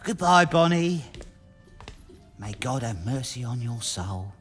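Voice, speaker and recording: A woman's voice: very deep voice, animated, takes it slowly, soft background music, full character voice. A woman playing a scruffy, tipsy male prison turnkey, awkward and matey, in an audio drama. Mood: sheepish. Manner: casual, awkward, working-class.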